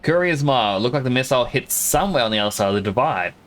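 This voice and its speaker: A man's voice: mid-range voice, lively intonation, very fast. A man from Australia with an Australian accent blended with some American, chatting casually and explaining things at length while streaming a game. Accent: Australian, mixed with American. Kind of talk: casual live-stream commentary.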